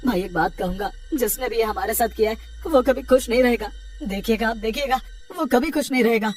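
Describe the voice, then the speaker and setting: A woman's voice: a little high, a little fast, darkish tone, recorded from a distance, faint music behind, close to an everyday voice. Woman acting a plaintive, naive village farmer man. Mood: sorrowful. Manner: plaintive, repetitive. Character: trusting, grieving male farmer, plaintive and naive. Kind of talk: children's storybook cartoon dialogue.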